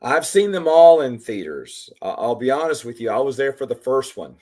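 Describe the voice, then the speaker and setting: A man in his forties, hoarse from losing his voice, rambling in casual chat as host of a live Q&A and answering commenters by name. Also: mid-range voice, sing-song, normal speed, dark tone. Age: 40s. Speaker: livestream host.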